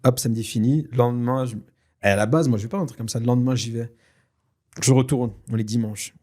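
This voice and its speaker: A man whose first language is Tashelhit, telling a personal story in a casual, lively way with many fillers and restarts. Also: mid-range voice, fast talker. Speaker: guest telling his story. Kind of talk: casual spoken testimony.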